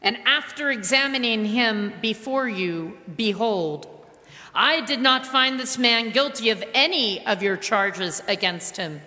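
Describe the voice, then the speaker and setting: A woman reading the part of a Roman governor who is reluctant to condemn, in a measured, earnest voice during a liturgical reading. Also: fairly high pitch, sharp tone. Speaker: liturgy reader. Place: large reverberant church. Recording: long church reverb.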